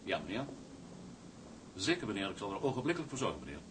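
A deferential hotel receptionist in a television drama. He is formal and clipped in a lowish, varied voice. Loud noise runs behind him. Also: big pitch swings, quick pace.

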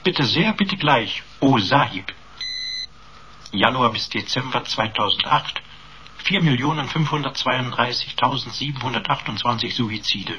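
A man's voice: medium pitch, expressive, unhurried, bright, forceful tone, a little hiss or hum. A man playing an eccentric, long-winded computer in a German radio play, speaking in a pompous, flowery, mock-servile manner. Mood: obsequious, officious.

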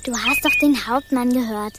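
An actress playing a confident child in on a scheme in a radio play, explaining things conspiratorially. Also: female voice, fairly high pitch, normal speed, noisy background, lightly acted. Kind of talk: German radio play acting. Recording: loud music behind.

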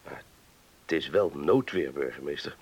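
A man's voice: a bass voice, animated, normal speed, darkish tone, husky, heavily acted. A male actor playing a hesitant, deferential subordinate in a radio play. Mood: hesitant, meek. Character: hesitant, obedient subordinate of the mayor. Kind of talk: radio drama acting.

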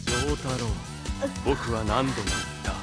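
An actor playing a cold, arrogant young villain in a Japanese anime audio drama, theatrical and condescending. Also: male voice, medium pitch, measured pace, natural voice. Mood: menacing, forceful. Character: cold, arrogant young male villain serving an evil master. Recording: dramatic music behind the voice.

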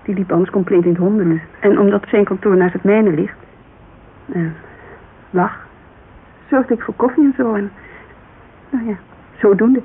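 A woman's voice: medium pitch, steady tone, normal speed, pauses often, natural voice. An actress playing a guarded, candid agency owner in her thirties in a naturalistic Dutch radio drama, speaking colloquially and searching for words. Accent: Dutch, Netherlands. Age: 30s. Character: thirty-three-year-old Amsterdam model agency owner, guarded, wistful, candid. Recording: narrow, hissy old radio recording.

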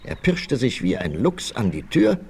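A man's voice: mid-range voice, huge pitch swings, unhurried, gravelly, darkish tone, full character voice. A man narrating a 1960s German radio play in dramatic scripted storytelling. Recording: 1968 vinyl record, faint noise.

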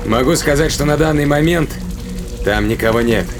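An actor playing a gruff, resolute spaceship captain in an audio drama, terse and commanding, with a creaky voice. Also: male voice, mid-range voice, moderate pace, music in the background, close to an everyday voice. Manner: terse, muttering to himself, commanding. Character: gruff, resolute male spaceship captain, sardonic under pressure.

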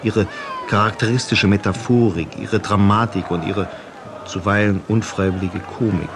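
A man reading scripted literary narration for a radio feature in a precise, measured way. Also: lowish voice, fairly even intonation, noisy background.

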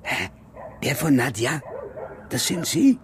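Gruff coffin bearer in loud noise. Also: male voice, medium pitch, sing-song, slow pace. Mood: groggy, surprised. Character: grumpy coffin bearer roused from sleep at midnight. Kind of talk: radio play dialogue.

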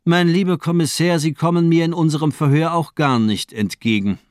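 A man's voice: a little high, moderate pace, no room sound, studio quality, natural voice. An actor playing a sinister, cultivated doctor in a radio crime drama, eloquent and mockingly polite as he toys with his captive. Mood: probing, superior.

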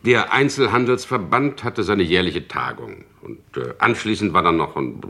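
A probing chief inspector in a radio crime play. His low voice moves expressively up and down as he needles and presses, always in control. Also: expressive, moderate pace, gravelly, a bit bright, exaggerated voice. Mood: firm, controlled. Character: probing, persistent male police chief inspector. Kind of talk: German radio crime drama acting.

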